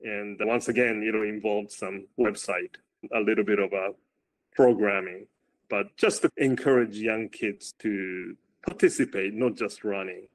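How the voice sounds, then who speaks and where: A man with a loud voice, a running coach answering interview questions and thinking aloud as he moves between points. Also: fairly low pitch, steady tone, unhurried, poor audio.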